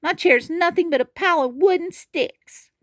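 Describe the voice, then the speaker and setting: Storybook bear cub, small and weepy, high and squealing, put on by a woman reading aloud. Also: moderate pace, a bit bright, no echo, studio quality. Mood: upset. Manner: squealing, whiny. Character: tiny young male bear cub, tearful.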